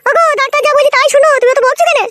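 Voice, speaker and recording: A woman voicing a plaintive young wife with a shrill, squeaky voice in a comedy cartoon. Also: fairly even intonation, racing, bright, forceful tone, no echo. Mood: insistent.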